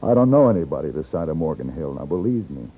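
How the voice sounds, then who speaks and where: An American actor playing a calm, plain-spoken captured gunfighter in a 1950s radio western, narrating and talking in a measured way. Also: male voice, rumbling low, breathy edge, exaggerated voice. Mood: earnest. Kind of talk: 1950s radio western acting, first-person narration and dialogue. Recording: muffled 1950s radio recording, music behind.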